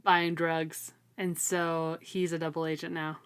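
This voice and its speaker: Female co-host, slightly low register, informal book-club chat with emphatic, slangy phrasing. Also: level tone, a little slow, clean tone. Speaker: podcast co-host. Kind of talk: casual book discussion chat.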